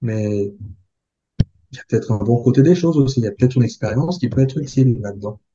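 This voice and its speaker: A man in his forties from the Republic of the Congo, a podcast guest who speaks in a measured, thoughtful way with frequent hesitations. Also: medium pitch, lively intonation, warm tone, dull audio. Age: 40s. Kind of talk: reflective interview talk.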